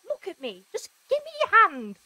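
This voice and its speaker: Woman acting a cheeky girl sidekick in an audio drama, really high voice, swings up and down, excitable. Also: moderate pace, slight background noise, exaggerated voice. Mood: irritated. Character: young female companion, cheeky and excitable. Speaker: actress in a fan audio drama.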